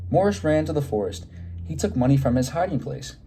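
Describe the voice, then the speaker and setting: A man reading a children's story aloud expressively, pausing to put questions to his listeners. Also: a little high, lively intonation, warm tone, a little hiss or hum.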